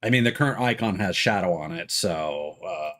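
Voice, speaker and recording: A man giving casual live game commentary, rambling and thinking aloud with halting, mid-sentence pauses. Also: low-pitched, expressive, a bit bright. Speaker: game streamer. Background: video game music.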